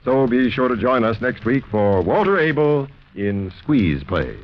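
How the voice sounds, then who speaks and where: A man's voice: mid-range voice, very animated, a little slow, very noisy. American guy hosting old radio mystery show, dramatic swooping delivery, muffled recording. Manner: theatrical, formal. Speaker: mystery show host and announcer. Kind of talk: 1940s radio scripted narration.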